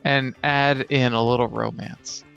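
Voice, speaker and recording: A man chats casually as a gaming podcast co-host, his medium-pitched voice edged with gravelly fry. He speaks haltingly, repeating phrases. The recording is a little dull, with music behind. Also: steady tone, a bit bright. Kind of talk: casual chat about tabletop gaming.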